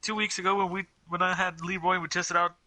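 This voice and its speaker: A man's voice: high-pitched, level tone, fast, pushed and bright. A man chatting casually on a gaming stream, explaining game mechanics with enthusiasm. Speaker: stream co-player.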